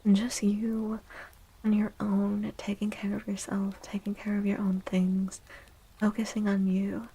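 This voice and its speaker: A woman speaking softly in a breathy, often whispered ASMR style, gently and soothingly, as she gives a tarot reading. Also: medium pitch, completely flat delivery, rough recording. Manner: slow, gentle, soothing, deliberate with long pauses.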